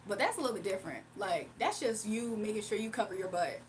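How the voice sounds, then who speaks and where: A woman's voice: medium pitch, lively intonation, fast, a little hiss or hum. A young woman streaming live, chatting casually with her viewers, laughing often and reading out chat messages.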